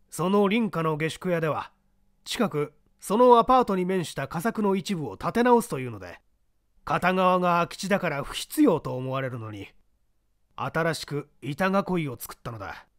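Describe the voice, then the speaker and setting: A man voicing a composed older gentleman who explains patiently and a little condescendingly in a mystery reading. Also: on the high side, no echo, studio quality, pitched above the performer's own voice.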